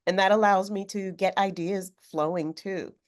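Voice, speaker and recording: A woman author speaking on a video call, a little hoarse from a cold, talking thoughtfully with some fillers. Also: mid-range voice, fairly even intonation, moderate pace. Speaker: author guest. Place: home room with sewing machine. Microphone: video call webcam.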